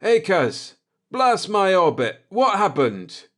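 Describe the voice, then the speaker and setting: Man voicing a forceful, high-pitched space navigator. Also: big pitch swings, slow pace, full character voice. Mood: alarmed, demanding. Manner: forceful, urgent questioning. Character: tall, lean, keen-eyed spaceship navigator, blunt and demanding. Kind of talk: dramatised audiobook character dialogue.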